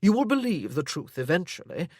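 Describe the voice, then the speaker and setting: Woman voicing a possessive older gentleman. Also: on the low side, sing-song, a little fast, slightly rough, dry recording, studio quality. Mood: smooth.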